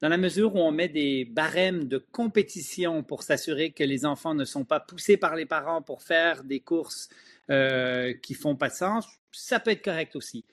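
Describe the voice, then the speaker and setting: A middle-aged man speaks French with a Quebec accent and a somewhat high-set voice. He gives opinionated, emphatic explanations in the manner of an expert guest being interviewed. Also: moderate pace. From Switzerland, moved to Quebec at ten. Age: middle-aged or older.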